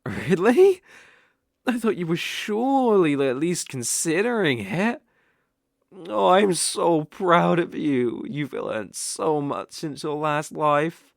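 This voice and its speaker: A man's voice: a little high, animated, vocal fry, dry recording, clean studio sound, heavily acted. A British man playing a sly, possessive, lovestruck fox-spirit mage in an ASMR audio roleplay, coaxing and easily flustered. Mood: overjoyed. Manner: coaxing, slow, with eager flustered outbursts.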